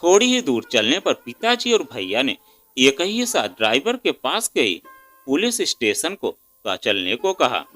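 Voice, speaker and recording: भावुक कहानी सुनाता पुरुष, उतार-चढ़ाव भरी आवाज़. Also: male voice, medium pitch, bright, forceful tone. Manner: emotive, reads a woman's first-person account. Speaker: storyteller. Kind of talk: dramatic scripted Hindi moral story narration. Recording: music under the voice. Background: instrumental music.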